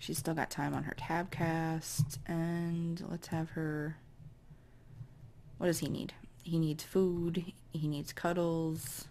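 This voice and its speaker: Raspy low-voiced woman narrating gameplay. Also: flat delivery, slow, mellow, a little hiss or hum. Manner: chatty, musing aloud while directing characters. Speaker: let's play host. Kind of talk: casual gameplay commentary.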